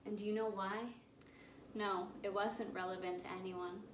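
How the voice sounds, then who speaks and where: A woman's voice: medium pitch, flat delivery, normal speed, close to an everyday voice. A woman playing a flamboyant, defiant adult male drag queen on trial, in an impassioned, pleading theatrical monologue. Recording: echoey room, distant mic, faint hiss.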